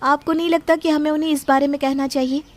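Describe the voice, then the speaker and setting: Woman acting an earnest, high-voiced young bride-to-be. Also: little pitch movement, fast, natural voice. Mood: worried, pleading. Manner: earnest, protesting. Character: worried young bride-to-be, a principled dutiful daughter. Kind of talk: dramatised Hindi story acting.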